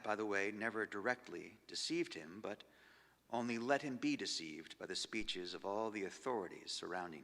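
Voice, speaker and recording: A man reads diary entries aloud on stage in a slow, expressive way, letting phrases fade almost to a whisper. His voice is bright and edged with fry. The sound rings with the long reverb of a large hall. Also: medium pitch. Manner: measured and expressive, dropping to near-whispers on trailing phrases. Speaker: actor giving a stage reading. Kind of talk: dramatic literary reading of diary entries.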